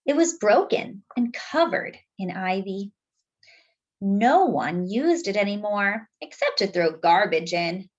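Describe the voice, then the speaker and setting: A woman reading a picture book aloud to young children as a storytime host, expressive and speaking directly to her listeners. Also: mid-range voice, takes it slowly, lo-fi sound.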